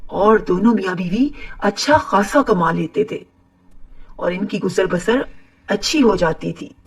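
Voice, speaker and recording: A woman storyteller with a husky, breathy voice at a medium pitch reads a scripted moral tale for children. She sounds slightly away from the microphone. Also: far from the mic, rough recording. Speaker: narrator.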